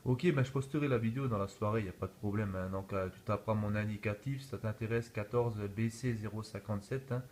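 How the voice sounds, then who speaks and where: A man's voice: on the low side, fast, hardly any pauses, a little mellow. A man talking casually and easygoing as an amateur radio operator, recorded on a phone. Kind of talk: casual radio contact chat. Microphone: phone filming in video mode. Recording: noisy room recording on a phone.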